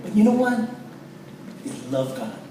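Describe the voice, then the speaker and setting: A pastor from the Philippines, excited and emotional, introducing a guest at a church launch. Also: male voice, sits high, measured pace, a little hiss or hum. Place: large church hall. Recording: echoey hall, distant mic.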